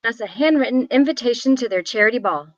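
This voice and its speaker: A higher-voiced, haunted young woman tells her family's tragic story as an actress reads her in a drama script. She is reflective and deliberate. The sound is dull and lo-fi, like an online call. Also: expressive, dry recording, natural voice. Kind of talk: scripted voice-over at a table read.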